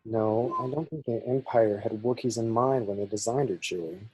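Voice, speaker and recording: A man playing a cocky, wisecracking smuggler pilot with a husky voice and nervy bravado, in a script table read. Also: mid-range voice, moderate pace, a little mellow, muffled recording, natural voice. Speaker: actor in an online table read. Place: remote video call, indoors.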